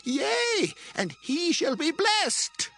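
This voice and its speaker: A man's voice: very high voice, huge pitch swings, drawn-out words, bright, forceful tone, faint music behind, exaggerated voice. An actor playing an aged, solemn patriarch father in a dramatized scripture reading, speaking with emotion. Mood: shaken, grieved. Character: aged patriarch father, solemn, trembling, blessing his son.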